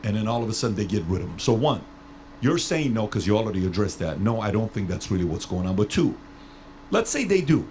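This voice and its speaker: A man from Iran hosting a podcast and asking long, rambling questions. He repeats himself and slows down to stretch out words. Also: fairly low pitch, big pitch swings, darkish tone, a little hiss or hum. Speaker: podcast host. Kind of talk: casual interview talk.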